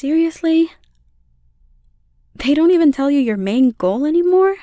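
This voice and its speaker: A gentle slime monster girl, played by a woman for an ASMR roleplay, speaking softly and intimately. Her voice is fairly high but dark and mellow. It is recorded very dry and close, with a caring, lightly teasing manner. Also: lively intonation, measured pace, no echo. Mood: incredulous teasing. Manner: soft, soothing, intimate delivery.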